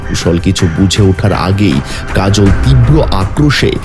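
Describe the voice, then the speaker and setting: Dramatic male horror narrator over loud music. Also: deep voice, lively intonation, unhurried. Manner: dramatic, suspenseful. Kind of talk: scripted horror story narration.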